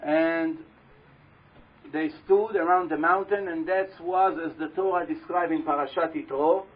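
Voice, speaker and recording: Loud husky man teaching a live audience. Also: on the high side, steady tone, a little slow. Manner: storytelling with questions to the audience. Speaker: religious teacher speaking to an audience. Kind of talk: live religious talk. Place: live talk before an audience. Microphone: handheld or stand microphone. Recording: dull lo-fi live recording.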